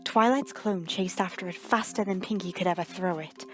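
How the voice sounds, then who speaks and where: A woman reading a scripted story as narrator, measured and dramatic, with deliberate pauses. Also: fairly low pitch, soft background music.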